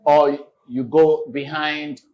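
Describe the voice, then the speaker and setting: A man hosting a casual on-location chat in a small restaurant, lively and promotional. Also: a little high, slow pace, dull audio. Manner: lively and promotional, urging viewers to visit. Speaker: channel host.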